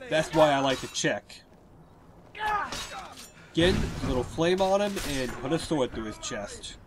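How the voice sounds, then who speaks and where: A man with a stuffy cold, giving relaxed, explanatory gameplay commentary over a walkthrough. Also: on the high side, measured pace, a crowd in the background.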